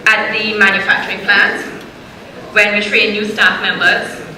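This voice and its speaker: A woman delivers a formal welcome address in a measured, ceremonial, rhetorical manner. Her voice is very bright and crisp. It rings out through a heavily echoing hall over faint noise. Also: medium pitch, little pitch movement. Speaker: event host. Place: conference hall.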